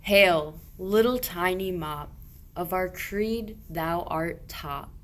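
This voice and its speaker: A woman's voice: fairly low pitch, takes it slowly. A woman playing a pious, earnest medieval shepherd, declaiming rhymed verse in a radio play. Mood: adoring.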